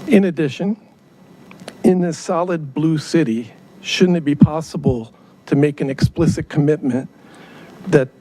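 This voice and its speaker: A man's voice: a little high, warm tone, a little hiss or hum, low-quality recording. Earnest man giving public comment. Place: council chamber.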